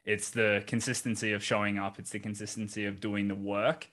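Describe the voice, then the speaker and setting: A young Australian man hosting a podcast over a video call, talking in a relaxed, encouraging way. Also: on the low side, brisk. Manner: relaxed, encouraging, "you know" fillers. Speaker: podcast host. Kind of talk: casual video-call interview. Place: home office, indoors.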